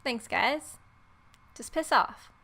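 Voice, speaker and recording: A woman's voice: on the high side, animated, slow, vocal fry, bright, forceful tone. A woman reading story text aloud as a let's player, with irreverent, sarcastic asides.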